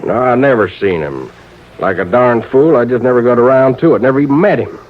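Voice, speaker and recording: Through a thin, narrow vintage radio recording comes a man acting a crafty old country insurance agent. He has a rural American accent and tells things in a folksy, halting, drawn-out way, nervous underneath, in old-time radio drama style. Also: mid-range voice, animated, lightly acted. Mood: uneasy. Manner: folksy, hesitant, drawn-out storytelling.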